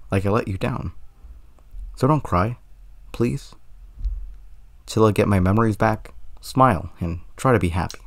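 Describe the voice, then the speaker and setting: Kind-hearted game hero, male fan dubber: teenage boy, reassuring, low, dry sound. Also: no room sound. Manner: sincere, reassuring. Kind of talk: amateur fan dub of game cutscene.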